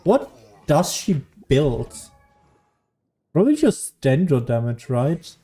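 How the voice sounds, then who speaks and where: Mellow, understated woman commenting on gameplay. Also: deep voice, big pitch swings, slow pace. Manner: understated, musing to self. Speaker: game streamer.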